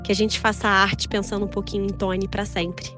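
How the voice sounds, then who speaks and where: A woman from theater speaking an affectionate recorded tribute, stringing loving phrases together in a steady rhythm. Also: medium pitch, fairly quick. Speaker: theater artist sending a tribute message. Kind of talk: recorded audio message, personal remembrance. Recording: voice message with faint music underneath.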